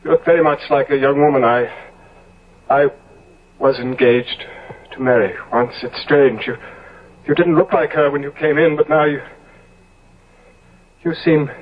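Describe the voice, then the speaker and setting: An actor in a 1970s radio drama playing a haunted, guilt-ridden gentleman, halting and theatrical. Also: a little high, fairly even intonation. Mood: dazed. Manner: halting, repeating words, theatrical. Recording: muffled phone-like vintage radio recording.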